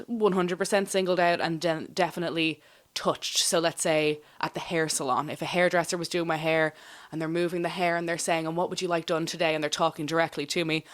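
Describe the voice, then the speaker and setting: A woman's voice: sits low, fairly even intonation, fairly quick. A young adult woman, a guest in a casual interview, talking candidly and reflectively about her own experiences.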